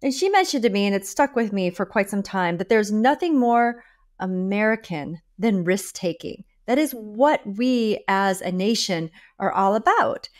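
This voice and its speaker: A woman in her forties hosting a podcast interview from a home office over a video call, upbeat and enthusiastic with lively emphasis. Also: mid-range voice, a little slow. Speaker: podcast host. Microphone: video call with a desk microphone.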